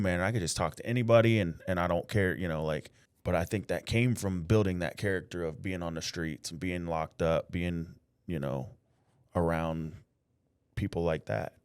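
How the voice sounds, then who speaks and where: Deep-voiced male host, dry close sound. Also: clean tone, no room sound. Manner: earnest, faith-driven, fills with "like" and "man"; shares his own experience while asking questions. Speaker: podcast host. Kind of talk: casual interview conversation.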